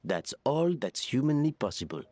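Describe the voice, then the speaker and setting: A performer voicing an earnest, reasoning colleague who explains things in measured game dialogue. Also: medium pitch, very animated, dark tone, soft background music.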